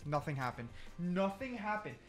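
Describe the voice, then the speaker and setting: Guy testing stuff in a video game, super animated pitch swings, excitable, repeats himself, thinks out loud, music behind. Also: moderate pace. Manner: repetitive, exclamatory, thinking aloud. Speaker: gaming content creator testing game mechanics. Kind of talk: excited gameplay commentary.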